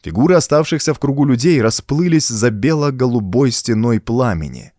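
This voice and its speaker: A man's voice: medium pitch, lively intonation, moderate pace, darkish tone, no echo, studio quality. A man reading a pulp horror story as an audiobook, in a dramatic, scripted storytelling manner. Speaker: audiobook narrator.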